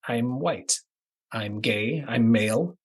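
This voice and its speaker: Husky-voiced male podcast host. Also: medium pitch. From American Midwest. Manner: measured, deliberate listing cadence. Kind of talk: scripted monologue introduction.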